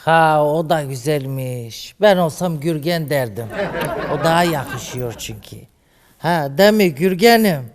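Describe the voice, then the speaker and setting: A man's voice: on the high side, lively intonation, measured pace, in a large hall, a crowd in the background. A man doing a campy brassy TV fairy. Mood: teasing. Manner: teasing, flamboyant, calls women "sister". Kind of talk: comedy sketch. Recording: studio audience behind.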